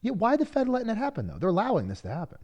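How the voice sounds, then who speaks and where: Fast, animated male podcast host. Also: sits high, lo-fi sound. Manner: informal, frequent agreeing interjections. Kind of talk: casual interview chat.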